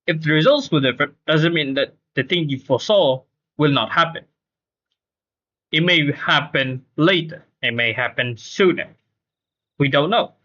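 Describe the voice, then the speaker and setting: A man's voice: a little high, fairly bright tone, muffled recording. A man streaming a game with casual live commentary, explaining his points emphatically and repeating himself.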